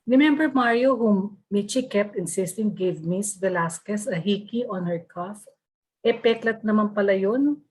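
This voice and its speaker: A woman's voice: mid-range voice, normal speed, close to an everyday voice, poor audio. A woman with a Filipino accent playing a gossipy alumna in an online table read. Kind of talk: table read of a reunion script over video call.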